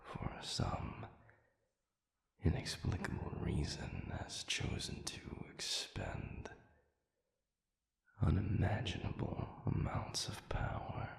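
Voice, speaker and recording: A man playing an ancient, grave demon in a dramatic audio roleplay, speaking in a breathy half-whisper with halting, formal, solemn diction. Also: very deep voice, sing-song, reverberant, full character voice. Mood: brooding, puzzled.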